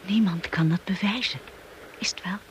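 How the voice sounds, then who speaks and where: A woman's voice: fairly low pitch, moderate pace, lightly acted. An actress playing a devoted, steadfast young wife and lady of the manor in a radio drama. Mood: hushed, loving. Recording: noisy old radio play recording.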